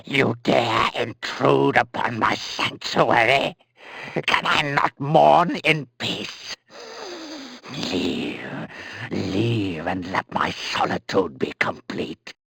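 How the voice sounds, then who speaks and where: Tormented hermit sorcerer, very slow, raspy, forceful, bitter ranting, video game villain. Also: male voice, mid-range voice, expressive, no room sound, heavily acted, low-quality recording. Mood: anguished, angry. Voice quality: gravelly, raspy. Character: mad, grieving reclusive male sorcerer, bitter and tormented.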